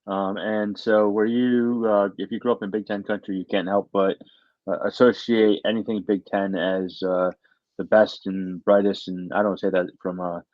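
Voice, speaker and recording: A middle-aged American man from Michigan, a university faculty member giving earnest, conversational interview answers in a halting, word-repeating way. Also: on the low side, flat delivery, no echo, lo-fi sound. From Michigan, United States.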